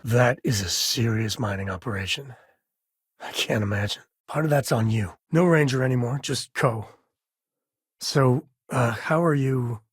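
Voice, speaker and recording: An actor playing a wry, laid-back former ranger and space explorer in a video game, a husky man talking in a folksy, conversational way. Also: mid-range voice, a little slow, dry recording, clean studio sound. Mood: wry, casual. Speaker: video game companion character. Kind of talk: video game voice acting, casual dialogue.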